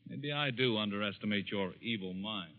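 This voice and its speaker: An actor playing a dismissive, easily exasperated editor with brusque, scoffing delivery in old-time radio style. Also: male voice, on the low side, normal speed, phone-quality sound, lightly acted. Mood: skeptical. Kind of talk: 1940s radio acting.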